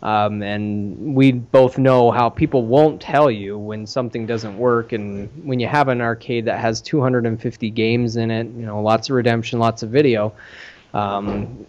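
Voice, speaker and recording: A man's voice: mid-range voice, fairly even intonation, a little slow, a little mellow, highs cut off. A man talking casually as a podcast host who runs an arcade.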